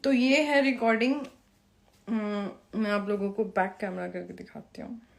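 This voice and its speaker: A woman's voice: mid-range voice, steady tone, measured pace, creaky. A woman talking informally to camera in a confrontational, scolding and taunting way, with rhetorical questions. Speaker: live video host.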